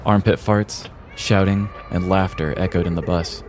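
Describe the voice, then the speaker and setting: An American man reading a scripted comedic story in a deliberate, theatrical storyteller's manner. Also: low-pitched, fairly even intonation, faint music behind. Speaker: podcast host telling a story.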